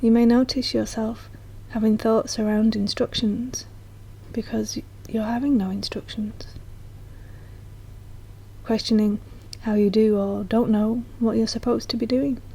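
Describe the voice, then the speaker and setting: Soft, breathy woman guiding a meditation. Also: fairly high pitch, flat delivery, poor audio. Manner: soft, slow, soothing delivery. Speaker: meditation guide. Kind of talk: guided meditation narration.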